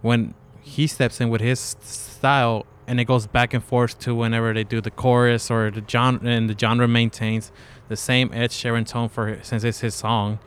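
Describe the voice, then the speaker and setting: A man co-hosting a casual podcast, talking in halting stretches full of fillers and restarts. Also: medium pitch, flat delivery, clear, resonant tone. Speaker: co-host. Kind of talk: casual chat.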